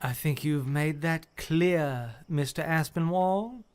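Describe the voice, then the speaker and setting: A man in a radio play acting a formal, courteous gentleman who chairs a hearing with orderly, articulate speech. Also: fairly high pitch, takes it slowly, clean tone. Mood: earnest, storytelling.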